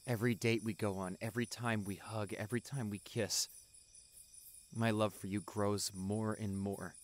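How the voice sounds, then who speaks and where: A man playing a tender, devoted young boyfriend in a romantic roleplay audio, speaking softly and earnestly. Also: on the low side, flat delivery, lightly acted. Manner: soft, tender, earnest, unhurried.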